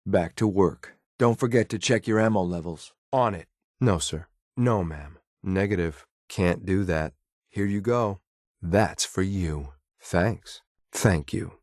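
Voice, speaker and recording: A man voicing a cold, ruthless synthetic enforcer in a video game, with a clipped, deadpan, formal delivery. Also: low-pitched, takes it slowly, no echo, studio quality, full character voice. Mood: curt, businesslike. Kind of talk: scripted game companion voice lines.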